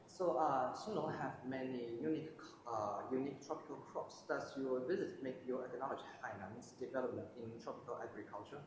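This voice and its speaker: A man works through prepared interview questions in halting, non-fluent English, restarting words as he goes. His pitch is average. The recording is very echoey, with a long reverb and faint noise.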